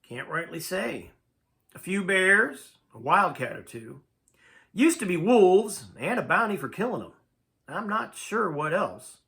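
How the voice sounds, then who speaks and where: A man reading the storybook part of a steady, plainspoken mountain guide in an understated, folksy way. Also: high-pitched, measured pace, gravelly, exaggerated voice. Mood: matter-of-fact.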